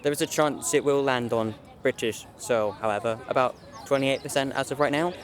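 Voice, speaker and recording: A man's voice: medium pitch, a little fast, some chatter behind, close to an everyday voice. A young man playing a wordy, formal military commander being interviewed in a drama. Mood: flustered, official. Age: young adult. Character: measured male military commander, formal and authoritative. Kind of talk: interview acting in youth drama.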